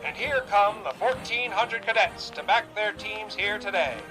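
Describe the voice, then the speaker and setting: An actor playing an excitable 1920s radio play-by-play announcer in a grandiose, formal showman style. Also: sits high, normal speed, loud music behind the voice, poor audio. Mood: excited, grand. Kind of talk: 1920s radio sports commentary acting.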